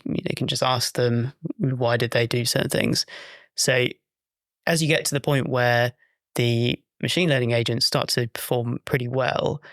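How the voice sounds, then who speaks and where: A man's voice: mid-range voice, a bit bright, dry recording. A man hosts a podcast in a mid-range voice, with a very dry, roomless sound. He interviews conversationally, thinking aloud as he builds his questions.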